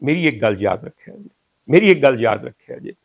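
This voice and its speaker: Older Pakistani male host, dry close sound, declaims poetry with slow emphasis. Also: medium pitch, animated, gravelly, no room sound. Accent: Pakistani Urdu. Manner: declaiming Punjabi verses slowly and emphatically. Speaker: talk show host. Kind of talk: reflective monologue.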